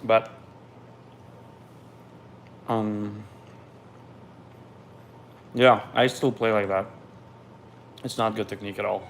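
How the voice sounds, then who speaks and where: A man's voice: fairly low pitch, fairly even intonation, slow pace, pauses often, slight background noise. A man from Ukraine, raised in Israel, reads viewer questions aloud on a live stream and answers them in a loose, candid way.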